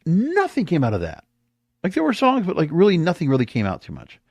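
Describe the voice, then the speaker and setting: Animated, higher-voiced man hosting a podcast. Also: brisk, vocal fry, dry recording. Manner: emphatic, rambling, repeats himself. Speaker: podcast host. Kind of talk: opinionated solo podcast commentary.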